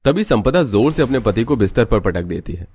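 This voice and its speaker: A man's voice: lowish voice, big pitch swings, a little fast, a little mellow, breathy edge. A man giving scripted narration for a cartoon family story as its narrator.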